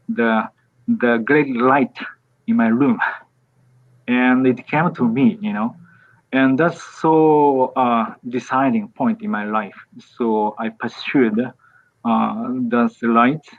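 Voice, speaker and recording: A priest in his fifties from Japan, speaking English with a Japanese accent. He talks slowly, with many pauses and restarts. The sound is thin and tinny, like a video call. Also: mid-range voice. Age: 50s. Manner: hesitant, many fillers and restarts. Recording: tinny phone-like call audio.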